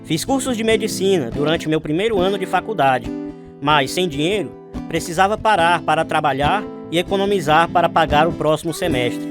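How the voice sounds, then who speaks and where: Earnest young man acted, fairly high, over music. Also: fairly quick, natural voice. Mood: earnest, hopeful. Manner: earnest, sincere storytelling. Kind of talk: first-person dramatized testimony narration.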